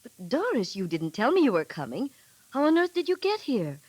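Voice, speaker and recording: An actress playing a kindly, excitable middle-aged woman in a 1930s radio drama, fussing and flustered. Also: a little high, normal speed, breathy edge, no room sound, full character voice. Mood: puzzled, concerned. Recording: vintage 1938 radio broadcast recording.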